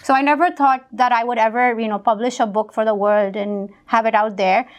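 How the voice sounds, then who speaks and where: A young woman with a fairly high-pitched voice is talking as an interview guest about her writing. Her speech is relaxed and chatty, full of fillers and restarts. She grew up in Pakistan. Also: brisk, dull audio. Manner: conversational, with many fillers and restarts. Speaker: guest, author. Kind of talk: casual interview talk.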